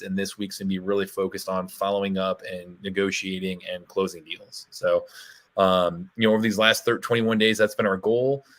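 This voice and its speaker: A man co-hosting a live webinar, a little hoarse, with a promotional delivery full of fillers. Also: fairly low pitch, fairly bright tone. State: slightly hoarse. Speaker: co-host.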